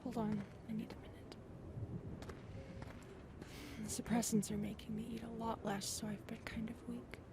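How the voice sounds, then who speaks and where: Over clearly noisy ambience comes an affectionate alien woman, acted by a woman for an ASMR audio roleplay. Her voice is on the high side, soft and breathy, slipping often into whispers. She speaks intimately and playfully, as to a lover. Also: flat delivery, normal speed, long pauses, close to an everyday voice. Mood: weary. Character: affectionate, playful adult female alien lover, tall otherworldly species.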